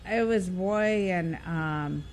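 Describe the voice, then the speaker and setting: Low-voiced chatty woman. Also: slow pace, darkish tone, a little hiss or hum. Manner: chatty, many fillers and restarts. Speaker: radio guest. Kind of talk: casual radio interview.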